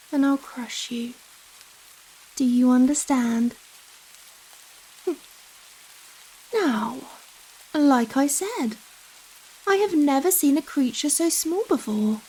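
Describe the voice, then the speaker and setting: A giant vampire woman, played by a female ASMR actor, speaks in a high, lingering voice with rain falling around her. She is coy and coddling, gentle yet possessive, toying with someone tiny in a slow, intimate roleplay. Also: poor audio. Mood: teasing, controlling. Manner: slow, coy, coddling talk with pet names. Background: steady rain.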